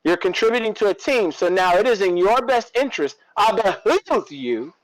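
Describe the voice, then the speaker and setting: A man's voice: high-pitched, animated, normal speed, no room sound, rough recording. A man co-hosting a podcast in casual, opinionated talk, repeating phrases for emphasis. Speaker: podcast co-host.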